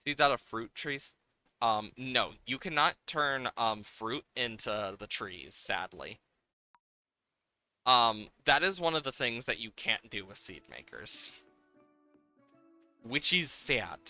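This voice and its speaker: A man live-streaming a game, chatting casually with viewers in an animated, exclamatory way and sometimes swearing. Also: medium pitch, slow pace, pauses often, no echo, soft background music.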